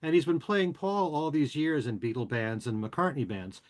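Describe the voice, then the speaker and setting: A man hosting a podcast, casually running through show news and plugs in a chatty, relaxed way with frequent filler words. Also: a little high, moderate pace. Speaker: podcast and radio host.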